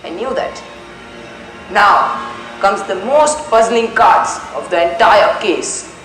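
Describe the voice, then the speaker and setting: School kid doing a detective in a play, dramatic pauses, voice all over the place, echoey with music. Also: medium pitch, lively intonation, fairly bright tone. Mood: accusing, deliberate. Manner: declamatory, deliberate, dramatic pauses. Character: theatrical male detective solving a murder. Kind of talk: amateur school stage play monologue. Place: school stage. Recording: echoey stage recording with music behind.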